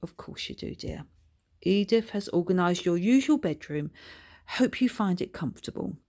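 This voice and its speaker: A woman voicing a genteel older titled lady in a storybook, proper, brisk and politely bossy. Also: on the low side, animated, mellow.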